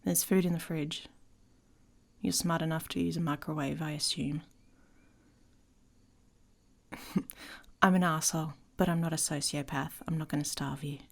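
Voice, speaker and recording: A woman playing a haughty, domineering female werewolf in an intimate ASMR roleplay, mocking and commanding, often dropping into a breathy whisper. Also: deep voice, fairly even intonation, normal speed. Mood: weary, grudging. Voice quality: husky, breathy.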